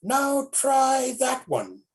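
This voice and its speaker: A man doing a slow, stern big brother. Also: extremely high pitch, wildly swooping pitch, voice pushed up, exaggerated voice. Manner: slow, drawn-out, commanding. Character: stern, harsh older brother, grown man.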